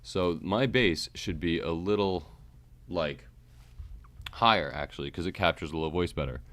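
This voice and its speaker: A man with a deep, low-pitched voice talks casually, as a livestreamer would. His delivery is rambling and he often cuts himself off mid-thought while walking listeners through a technical demonstration. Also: normal speed, fairly bright tone. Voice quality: naturally deep voice. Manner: rambling, self-interrupting.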